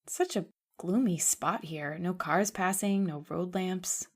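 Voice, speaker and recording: A woman's voice: fairly low pitch, a little slow. A woman playing an anxious, devoted young woman in an old-time radio horror drama, earnest and melodramatic. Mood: troubled, insistent.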